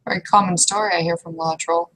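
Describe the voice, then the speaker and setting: A woman's voice: fairly low pitch, steady tone, fast talker, a bit bright, poor audio. A woman playing a blunt, kindly, world-weary female troll in a casual tabletop roleplay. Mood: wry sympathy.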